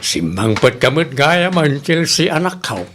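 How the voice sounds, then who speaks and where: A man playing a caring older Filipino father with an Ilocano accent in an audio drama, gravelly and gently probing. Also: on the high side, big pitch swings, measured pace, faint music behind. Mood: fatherly, attentive. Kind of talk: radio-style drama acting.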